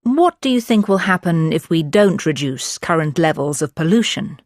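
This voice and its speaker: A woman reading exam questions in a clear, even, formal way. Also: low-pitched, moderate pace, no room sound, studio quality. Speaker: examiner voice on course audio.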